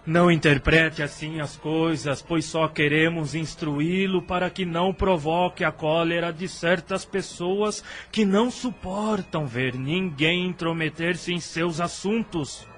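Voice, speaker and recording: An actor playing a stern father questioning his son in a radio drama, measured and admonishing, with a husky voice. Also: fairly high pitch, fairly even intonation, a bit bright, slight background noise. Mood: conciliatory. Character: stern questioning father, head of a family council.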